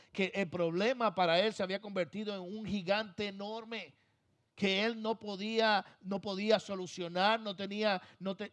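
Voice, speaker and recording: A male pastor with a Puerto Rican accent delivers a sermon in a slightly high voice. He drives key phrases up loud, then lets the ends drop to a near hush. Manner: emphatic preaching, swings from loud to soft trailing phrases.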